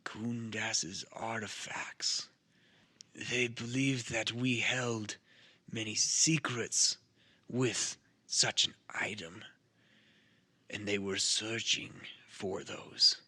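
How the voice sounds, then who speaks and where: A man voicing a weak, grave old lizardfolk elder in a tabletop game, with a whispery rasp and halting, solemn delivery. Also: fairly low pitch, steady tone, bright, forceful tone, exaggerated voice.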